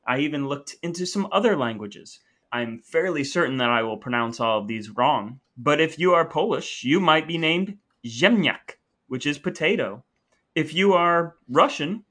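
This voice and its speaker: Male podcast co-host, bright forward tone, casual storyteller. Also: mid-range voice.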